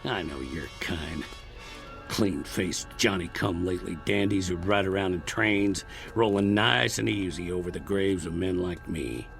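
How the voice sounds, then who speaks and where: An actor playing a ruthless, bitter Old West outlaw with a folksy, contemptuous drawl in an old television drama. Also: male voice, sits low, a little slow, creaky, a bit bright, faint music behind, full character voice. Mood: bitter, scornful. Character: ruthless, bitter 1880s western outlaw, hardened and menacing.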